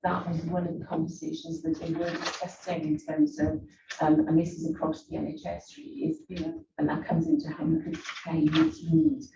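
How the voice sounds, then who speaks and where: A woman's voice: deep voice, brisk, gravelly. A woman executive answering a board's questions in a formal meeting, leaning on "actually" and "very" as fillers. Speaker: executive presenting a report to the board. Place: meeting room. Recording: distant echoey muffled mic with room noise.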